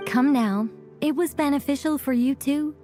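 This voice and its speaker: A woman's voice: sits high, moderate pace, mellow, natural voice. A woman playing a composed, whimsical author in a video game, articulate and breezily polite. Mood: breezy. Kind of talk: video game character acting. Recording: faint music behind.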